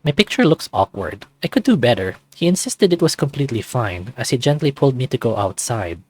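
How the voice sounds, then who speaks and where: Animated man narrating a visual novel. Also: medium pitch, fairly quick, breathy edge, no room sound. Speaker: let's play host. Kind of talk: reading a visual novel aloud.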